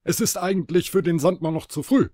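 A man's voice: a little high, fairly quick, dark tone, no echo, clean studio sound, lightly acted. A man voicing a kindly, concerned old Man in the Moon in a children's audio play, giving advice. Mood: advising.

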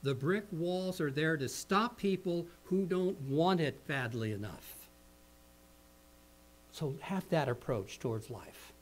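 A middle-aged man with an American accent preaching a sermon in an earnest, deliberate manner, stressing key phrases. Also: on the high side, animated. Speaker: pastor. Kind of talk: sermon with slides, measured public speaking. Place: indoor meeting hall at a lectern. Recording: noisy live hall recording with hum.

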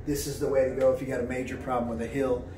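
A man's voice: mid-range voice, flat delivery, rapid-fire, a little mellow, reverberant. A middle-aged man talks through a problem at a whiteboard in casual, plain-spoken fashion, with plenty of fillers along the way. He is caught by a camera set a few steps back, so his voice sounds distant and bounces around the small room, with a faint hum underneath. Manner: plain-spoken, many fillers and "right?" checks. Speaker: real estate agent. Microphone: camera a few steps away.

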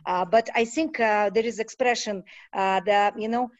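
Animated woman from Kyrgyzstan on a call. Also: mid-range voice, normal speed. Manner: animated, emphatic, non-native phrasing. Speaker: panelist. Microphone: webcam on video call. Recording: muffled video call audio.

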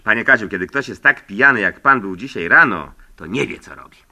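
A man playing a fussy, excitable busybody in a radio comedy sketch, husky, emphatic and pedantic, eager to agree. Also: on the low side, a little fast, dull audio, exaggerated voice. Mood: needling.

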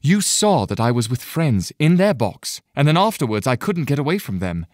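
Actor as young gentleman, polite, evasive, reserved, mid pitch, wide intonation, stage play. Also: big pitch swings, moderate pace, no echo, studio quality, lightly acted. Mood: defensive. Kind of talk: audio stage play acting.

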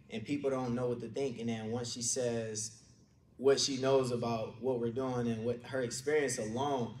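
A man's voice: medium pitch, a little mellow. Detroit man speaking in an echoey room. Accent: American, Detroit. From Detroit, USA. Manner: colloquial, anecdotal. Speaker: conference speaker, real estate agent. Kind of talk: informal motivational storytelling talk to an audience. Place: indoor conference room, speaking on a stage. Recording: echoey room, distant mic, background noise.

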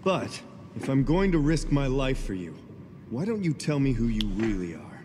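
An actor playing an earnest, exasperated young martial-arts fighter in a video game, with a plaintive, indignant manner. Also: male voice, medium pitch, sing-song, moderate pace, close to an everyday voice. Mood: questioning. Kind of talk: video game cutscene voice acting. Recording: reverberant hall, noisy background.

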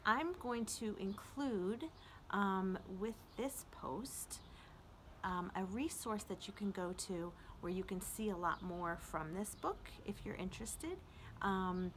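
Unhurried woman reading aloud outdoors. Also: medium pitch, fairly bright tone. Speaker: children's librarian and story-time host. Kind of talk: children's poetry read-aloud with informal introduction. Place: outdoors on a front porch. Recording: outdoor porch ambience, light street noise.

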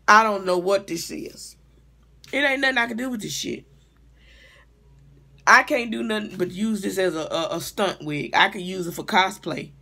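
A woman's voice: mid-range voice, pushed and bright. A middle-aged woman giving a casual comedic rant in a blunt, profane, exasperated conversational way, recorded on a device mic in a room at home. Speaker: vlogger and hair stylist. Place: small home room, indoors.

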